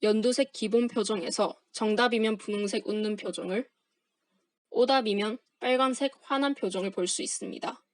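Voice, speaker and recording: Young female student presenter, steady formal read, dry close voice-over, middle pitch. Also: moderate pace, no echo. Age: young adult. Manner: formal, steady, reading from a script. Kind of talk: read-aloud project presentation.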